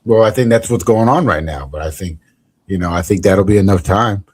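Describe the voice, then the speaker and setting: A man's voice: low voice, brisk, a little mellow, breathy edge. Rambling jokey podcast host, a man. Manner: rambling, jokey, restarts a lot. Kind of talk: casual comedic chat.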